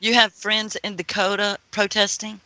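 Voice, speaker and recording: A woman's voice: mid-range voice, flat delivery, normal speed, sharp tone, poor audio. A woman co-hosting a call-in radio show, probing the caller with questions. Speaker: radio co-host.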